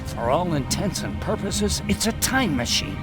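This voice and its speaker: An actor playing an urgent, excitable resistance scientist in a video game, explaining things emphatically. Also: male voice, on the high side, moderate pace, loud music behind the voice. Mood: excited explaining. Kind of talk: dramatic video game acting.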